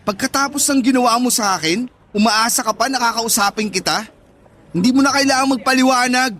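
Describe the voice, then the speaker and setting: A man's voice: extremely high pitch, fairly quick, pushed and bright. A man acting in a radio drama as an angry, betrayed young friend, bitter and scornful, with a Filipino accent.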